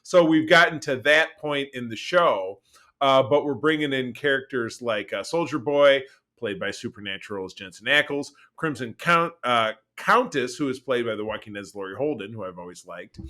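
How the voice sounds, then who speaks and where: A man co-hosting a pop-culture podcast in casual, rambling and excitable chat, with some swearing. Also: on the high side. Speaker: podcast co-host.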